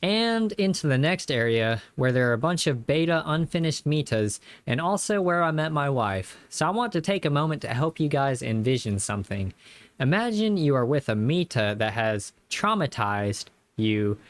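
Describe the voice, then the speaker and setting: A man's voice: fairly high pitch, big pitch swings, normal speed. Man narrating a game recap. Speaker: video game reviewer. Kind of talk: casual scripted gameplay recap narration.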